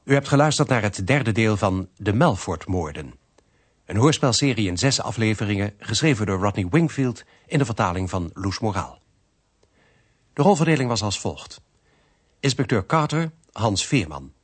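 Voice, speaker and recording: Husky, low-voiced male radio announcer. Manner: formal, measured. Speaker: closing announcer. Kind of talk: scripted credits announcement.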